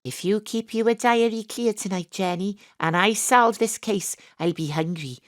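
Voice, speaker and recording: A woman's voice: mid-range voice, unhurried, no room sound, lightly acted. Actress as a wry Welsh lady detective, close-miked. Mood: brisk, flirty. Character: brisk, tongue-in-cheek Welsh woman private detective. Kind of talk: comic audio drama acting and narration.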